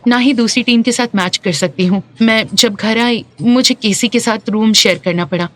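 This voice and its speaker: A young female athlete in a dubbed drama, stubborn but fond. Her voice sits fairly high, with soft music underneath. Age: young adult.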